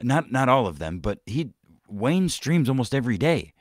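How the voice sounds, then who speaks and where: A man's voice: medium pitch, very animated, normal speed, dry recording, clean studio sound. A man hosting a podcast, rambling and swearing through casual commentary, with many restarts and trailing-off sentences. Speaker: podcast host.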